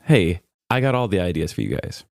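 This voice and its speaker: A man's voice: low voice, big pitch swings, a little fast, vocal fry, dry recording, clean studio sound. An American man co-hosting a podcast, chatting casually and comedically with gushing enthusiasm. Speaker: podcast co-host.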